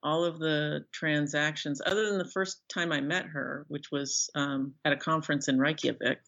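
A woman's voice: low-pitched, fairly even intonation, moderate pace, gravelly, a bit bright. A middle-aged woman speaking conversationally as an author being interviewed, on a slightly dull online-call recording. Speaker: guest author.

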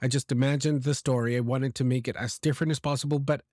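A man hosting a podcast for English learners, speaking slowly and deliberately. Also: mid-range voice, steady tone, a little mellow, no room sound, studio quality. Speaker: podcast host.

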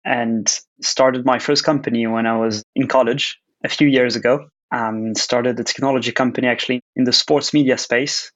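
A man from Switzerland, a company founder giving measured, explanatory answers in a podcast interview. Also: mid-range voice, fairly even intonation, a bit bright, lo-fi sound. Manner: measured, explanatory, with frequent "uh" pauses. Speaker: guest, startup founder and CEO.

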